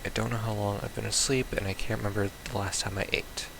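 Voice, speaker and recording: A man's voice: fairly low pitch, level tone, quick pace, vocal fry, background noise. A man playing a visual novel, reading its text aloud casually with baffled, blunt asides. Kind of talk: casual let's play, reading game text aloud with confused reactions.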